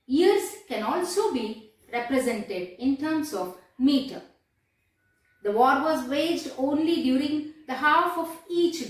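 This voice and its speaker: Female teacher, lively swooping intonation, explanatory storytelling, recorded distant in a very echoey room. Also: high-pitched. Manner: deliberate, repeats phrases for emphasis. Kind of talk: lesson retelling a play.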